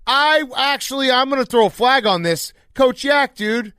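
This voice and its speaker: A man's voice: very high-pitched, normal speed, pushed and bright, clean tone, no room sound, studio quality. An American man hosting a casual sports podcast, bantering in an enthusiastic, jokey, bro-like way.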